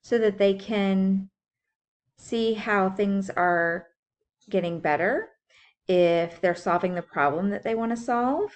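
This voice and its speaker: A woman's voice: mid-range voice, slow. A woman teacher reading a science book aloud for young children, explaining things simply and putting questions to her listeners. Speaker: school teacher.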